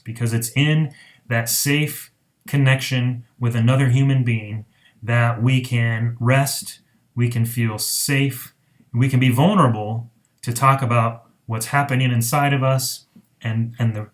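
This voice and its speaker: A man speaking as a marriage counselor in an informal explainer, earnest and reflective, with deliberate pauses. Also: medium pitch. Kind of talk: informal explainer talk to camera.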